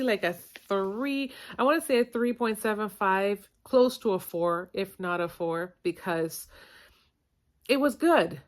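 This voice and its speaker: A middle-aged woman vlogging about books in a casual, rambling way, correcting herself and laughing at her own words, with a stuffed-up nose. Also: lowish voice. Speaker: book vlogger. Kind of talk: casual chatty book review. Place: basement at home.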